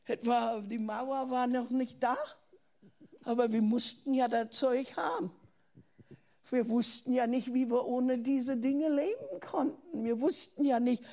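Older German woman preacher, earnest and questioning, high-pitched, unhurried delivery. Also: creaky, a little mellow. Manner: earnest, asks the listener questions, restarts words. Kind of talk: sermon.

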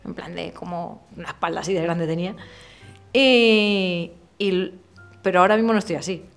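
Murcian woman in her 30s, soft accent, chatty and blunt, slangy, lowish voice, faint music behind. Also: normal speed, vocal fry. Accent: softened Murcian Spanish. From Murcia, Spain. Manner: lively, candid, slangy, with many fillers. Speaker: podcast guest, illustrator. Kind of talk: casual conversational interview.

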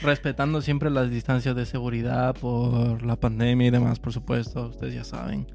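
A man's voice: medium pitch, flat delivery, moderate pace, vocal fry, dark tone, soft background music. A man hosting a podcast, chatty and joking as he asks his guest questions. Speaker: podcast host. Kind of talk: casual interview chat.

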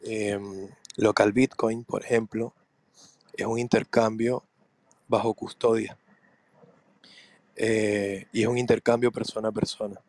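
A hoarse man, speaking very slowly and hesitantly as he explains. His voice sits low, and the recording is dry and close. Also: level tone, a little mellow, dry recording. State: hoarse from laryngitis. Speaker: podcast guest. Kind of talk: explaining on a podcast.

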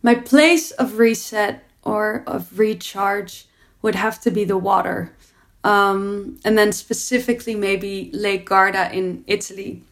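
A young woman speaks about her work in an earnest, reflective way. Her voice sits in a middle pitch, and she often repeats a word as she restarts a thought. She sounds like a guest answering interview questions. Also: fairly even intonation, takes it slowly. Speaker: producer and entrepreneur.

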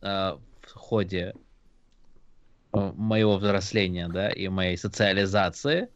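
Low-voiced man in dry, dull audio. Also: slow, no room sound. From Russia, Georgian family. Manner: thoughtful, hesitant with many fillers. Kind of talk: casual podcast chat. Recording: dull lo-fi sound.

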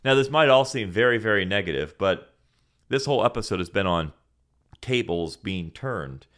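A man who grew up in Senegal preaches on a Bible podcast. His voice sits a little low, and he reads scripture earnestly, pressing his points with rhetorical questions. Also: lively intonation, normal speed, a bit bright.

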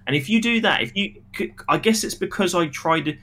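A man's voice: high-pitched, lively intonation, fairly quick, fairly bright tone. A man co-hosting a podcast, giving casual, blunt and critical opinions in a film review discussion.

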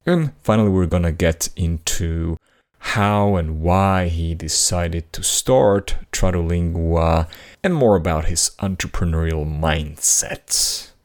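A man hosting an interview, introducing his guest and asking questions with lively emphasis. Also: low-pitched, slow pace. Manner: lively, rising intonation on key words. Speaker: interview host.

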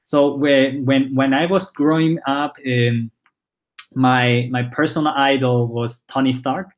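A man in his twenties, born in China, with a Spanish accent and Chinese as his first language, speaks as a startup founder in a casual interview, halting often with repeated words and fillers. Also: mid-range voice, fairly even intonation. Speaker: startup founder and CEO, guest.

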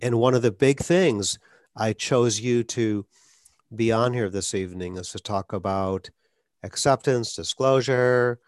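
A man's voice: medium pitch, measured pace, dry recording, studio quality. A man hosting an interview, a speech clinician who speaks earnestly and advocates, with occasional stuttered blocks. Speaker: podcast host, speech clinician. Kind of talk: conversational interview.

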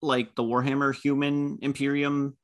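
A man's voice: mid-range voice, level tone, normal speed. A young man co-hosting a casual podcast, joking and teasing the others. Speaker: podcast co-host. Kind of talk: casual chat.

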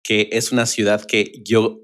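A man's voice: lowish voice, monotone, a little slow, a bit bright. Man, podcast co-host, friendly, laughs easily. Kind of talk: casual podcast hosting.

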